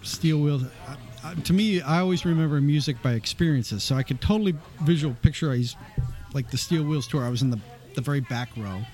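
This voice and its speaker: A middle-aged man, a band member, chatting casually on a radio show and telling animated, rambling stories. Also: on the high side, a little fast.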